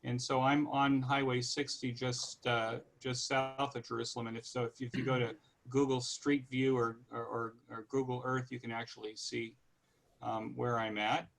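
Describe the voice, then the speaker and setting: A man in his sixties from California with an American accent, giving an informal, halting, conversational online presentation. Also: medium pitch, flat delivery, gravelly, fairly bright tone. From California, United States. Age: 60s. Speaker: guest presenter.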